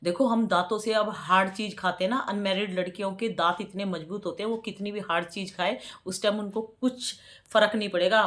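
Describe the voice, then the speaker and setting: A woman in her forties talking casually to her subscribers, chatty and preachy, often repeating words for emphasis. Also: medium pitch. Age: 40s. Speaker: vlogger.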